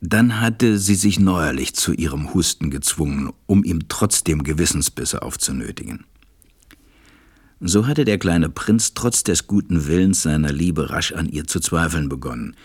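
An actor playing a gentle, reflective storyteller, narrating a storybook radio play. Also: male voice, deep voice, lively intonation, normal speed, breathy edge, no echo, clean studio sound, heavily acted. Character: reflective adult male narrator recalling a little friend.

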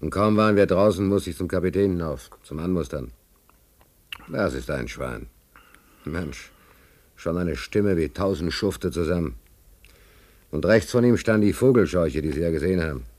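An aggrieved working sailor for a radio play. The voice sits low but swings widely in pitch. The speech is plain, colloquial and full of grievance. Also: male voice, expressive, moderate pace, pauses often, a little mellow. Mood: bitter, recounting. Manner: indignant, colloquial, protesting. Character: aggrieved sailor tricked into shipping as stoker's trimmer. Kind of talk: German radio play acting.

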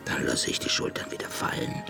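An actor playing a lonely, brooding creature, half ape and half human, speaking an introspective radio drama monologue in halting fragments with a gravelly, breathy voice. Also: male voice, whispered, over music, close to an everyday voice. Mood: weary, melancholy. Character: lonely brooding male ape-human hybrid creature, self-declared monster.

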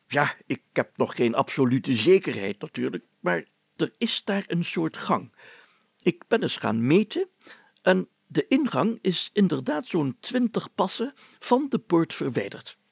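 Man playing a pompous gravelly village mayor, excitable. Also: a little high, a little slow, no room sound, full character voice. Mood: eager, confiding. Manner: pompous, exclamatory, chatty.

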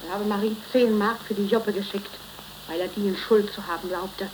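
A woman's voice: medium pitch, fairly even intonation, moderate pace, lightly acted. An actress playing an aggrieved, insistent middle-aged working-class mother in a 1930s German radio play. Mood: reproachful. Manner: reproachful, insistent. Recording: noisy crackling 1930s radio recording.

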